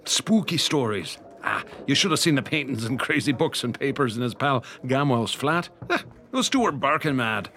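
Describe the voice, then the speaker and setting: A man's voice: medium pitch, moderate pace. A male actor playing a folksy, superstitious Irish policeman in an old-style radio drama, with an Irish accent. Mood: gossipy. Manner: colorful, animated, folksy. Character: earthy, superstitious Irish beat policeman, talkative and deferential.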